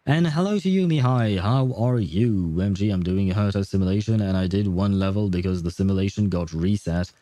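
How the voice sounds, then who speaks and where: A man's voice: sits low, fairly quick, few pauses, warm tone, no echo. A man hosting a casual gaming livestream, chatting with viewers in a rambling, hesitant way. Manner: hesitant, rambling, chuckling often. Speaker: livestream host.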